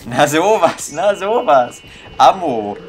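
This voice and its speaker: Slow-talking young man doing puzzled game commentary. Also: a little high, very animated. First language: German. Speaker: gaming video host. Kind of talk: casual let's-play commentary, thinking aloud. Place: indoor room at a computer. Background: game music.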